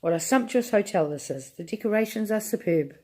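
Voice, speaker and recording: A woman's voice: medium pitch, lively intonation, brisk, warm tone, close to an everyday voice. A woman voicing a gushing, pompous male hotel manager.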